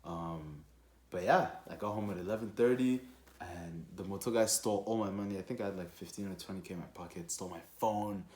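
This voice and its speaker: A young man from Rwanda telling a personal story casually, in an animated, slangy and profane way. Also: low voice, a little fast. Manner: animated, slangy, profane, with acted-out quotes and sound effects. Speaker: storytelling vlogger. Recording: echoey, roomy sound.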